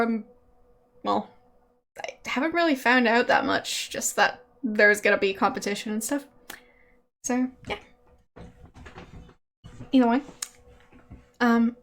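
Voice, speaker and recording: Woman streamer, German native speaking English, fairly high voice, slow unhurried pace, hesitant with fillers. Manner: hesitant, many fillers and little laughs. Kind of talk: casual stream chat with viewers.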